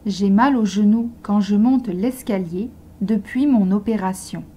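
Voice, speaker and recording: A woman slowly reading simple model sentences aloud for beginner language learners, pronouncing each one with care. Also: medium pitch, warm tone. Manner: slow, carefully articulated dictation. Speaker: language teacher.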